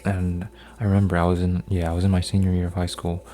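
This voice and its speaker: Deep, flat-voiced young man rambling softly. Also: quick pace. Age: 20s. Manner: meandering, full of fillers and restarts; folding paper while talking, drops to whispers. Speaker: ASMR creator. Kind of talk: soft-spoken rambling ASMR.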